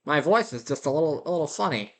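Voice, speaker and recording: A man's voice: a little high, lively intonation, fast talker, a bit bright. Man musing aloud with a slight lisp. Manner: musing, meandering, self-deprecating. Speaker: vlogger. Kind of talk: rambling personal audio journal. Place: house, indoors, walking around. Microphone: built-in laptop mic. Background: dogs' claws clicking.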